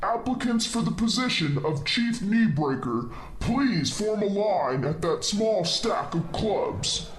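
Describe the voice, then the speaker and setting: A man's voice: high-pitched, lively intonation, takes it slowly. A man playing a pompous, commanding villain overlord who bosses his evil guild in an audio comedy drama. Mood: grandiose. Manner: pompous, commanding, grandiose speechmaking. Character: pompous male villain overlord, bossy and exasperated guild master. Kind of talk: role-played comedy drama. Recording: echoey room, clearly noisy ambience behind.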